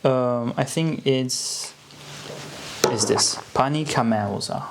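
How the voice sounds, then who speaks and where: A man's voice: medium pitch, slow pace, vocal fry, noisy background. A man talking casually as an interview guest, reflective and hesitant as he searches for words. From Italy (Sicily).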